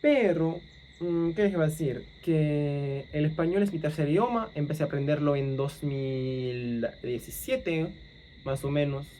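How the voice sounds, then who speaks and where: A man's voice: on the high side, measured pace, warm tone, slight background noise. English man speaking Argentine-tinged Spanish. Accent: English speaker with Argentine-leaning Spanish. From England. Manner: thinking aloud, many fillers and self-corrections. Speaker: language-learning vlogger. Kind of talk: casual monologue to camera.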